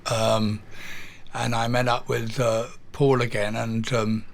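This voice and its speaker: Older English man, interview guest; halting, filler-heavy storyteller. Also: mid-range voice, flat delivery, vocal fry. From England. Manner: halting, with many fillers and repeated words. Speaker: guest, drummer.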